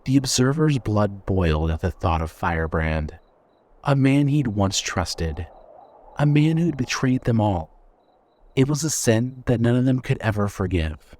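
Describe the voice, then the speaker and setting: A man narrating dark fiction from a script in a dramatic storytelling style. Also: fairly low pitch, no echo.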